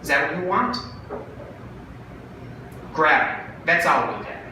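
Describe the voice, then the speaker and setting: A man voicing a fast-talking, wheedling brother pitching a bargain in a dramatic monologue from a short story. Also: lightly acted. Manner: salesman-like, cajoling, animated. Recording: very echoey room, distant mic, noisy.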